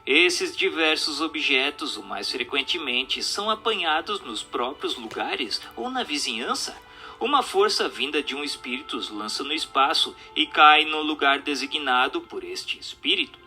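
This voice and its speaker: Ator fazendo voz masculina brasileira, muito brilhante, solene. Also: male voice, fairly high pitch, sing-song, moderate pace, pushed and bright, soft background music, exaggerated voice. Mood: solemn, instructive. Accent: Brazilian Portuguese. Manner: formal, declamatory, didactic. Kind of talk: scripted radio drama reading of a spiritist question-and-answer dialogue.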